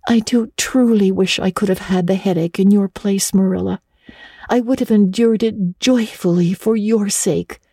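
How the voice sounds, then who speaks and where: A woman's voice: medium pitch, steady tone, normal speed, dark tone, husky, no echo, studio quality. A woman voicing an earnest, romantic young girl in a dramatic storybook reading, gushing and breathless, tripping over eager repetitions. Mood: sympathetic, penitent. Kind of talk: dramatic audiobook reading of classic children's literature.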